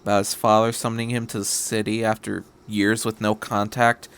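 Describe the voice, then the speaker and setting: A man reading a story aloud as a podcast narrator, in a measured, scripted storytelling style. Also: lowish voice, flat delivery, fairly bright tone, smooth.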